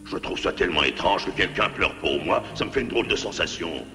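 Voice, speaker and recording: A man voicing a lonely, grumpy old self-styled ghost in a French animated cartoon, gruff and formal but soft-hearted underneath, with a creaky voice. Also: deep voice, brisk, big-room sound, faint music behind, exaggerated voice. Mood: softened. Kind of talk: French animated series dubbing.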